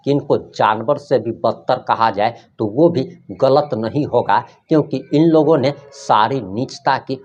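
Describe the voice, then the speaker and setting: An Indian male news presenter with a medium-pitched voice delivers pointed, emphatic commentary straight to his viewers. His manner is accusatory, and the recording is a little dull and lo-fi. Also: unhurried, hardly any pauses, a little mellow, faint music behind. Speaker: news presenter of an online channel. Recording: dull, band-limited sound.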